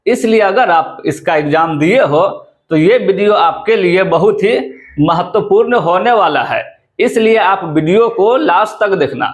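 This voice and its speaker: A man's voice: sits high, lively intonation, a little slow. A man with an Indian accent presenting an informational explainer to viewers, often repeating phrases for emphasis. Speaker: presenter of exam news videos. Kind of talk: informational explainer to camera or voice-over, addressing viewers.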